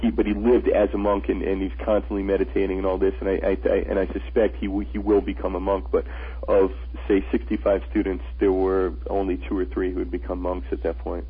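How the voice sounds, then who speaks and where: A man's voice: low-pitched, little pitch movement, fast, husky. A man who is the guest on a radio interview, an author and traveler telling his stories earnestly, often restarting his sentences. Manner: earnest storyteller, frequent restarts. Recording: tinny phone-line radio audio.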